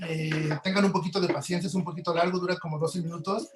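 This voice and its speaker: Guy lecturing informally, high voice, repeats himself, roomy echo. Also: fairly even intonation, quick pace, music in the background. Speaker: audio producer giving a talk. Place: indoor room, online webinar. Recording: echoey room on webinar audio.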